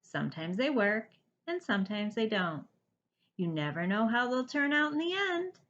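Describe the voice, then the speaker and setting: A woman voicing a mysterious, kindly male wizard in a children's storybook, unhurried, knowing and playful. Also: fairly high pitch, sing-song, fairly bright tone, lo-fi sound.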